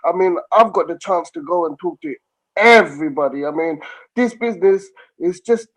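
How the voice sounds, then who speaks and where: A man's voice: high-pitched, normal speed, fairly bright tone, rough recording. A man in his thirties, tired from little sleep, giving an eager, effusive testimonial over a video call from a small room. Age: 30s. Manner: eager, effusive, repeats himself. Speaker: call participant.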